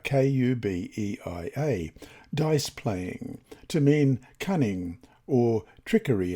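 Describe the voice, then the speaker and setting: A man reads a scripted religious lesson in a deliberate, expressive manner with measured phrasing. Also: mid-range voice, slightly rough. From Australia. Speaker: reader of Bible study lessons.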